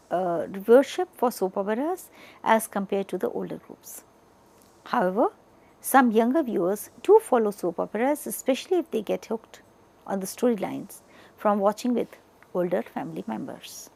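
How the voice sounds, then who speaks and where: Female lecturer, Indian accent, mid-pitched voice with noticeable creak, deliberate explanatory delivery. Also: warm tone. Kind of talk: educational lecture, partly read from notes.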